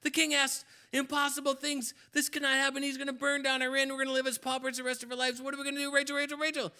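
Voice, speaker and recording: A man's voice: a little high, huge pitch swings, moderate pace, pushed and bright, pitched below the performer's own voice, full character voice. A man voicing a panicky old male innkeeper who babbles and stammers in fright. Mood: panicked. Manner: frantic, babbling, stammering repeats.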